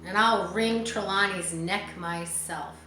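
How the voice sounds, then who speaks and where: A woman's voice: mid-range voice, slow, bright, forceful tone. Storybook pirate ringleader, male, acted by a woman: conspiratorial, chummy on top, ruthless underneath. Roomy sound. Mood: scheming, menacing. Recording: echoey room with faint background noise.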